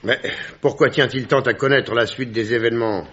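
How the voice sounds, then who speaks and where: An actor playing an earnest, curious doctor, a detective's sidekick, in a French radio drama. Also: male voice, mid-range voice, moderate pace, a bit bright, breathy edge. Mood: curious, puzzled. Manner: puzzled, earnest questioning. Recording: dull, muffled vintage radio recording.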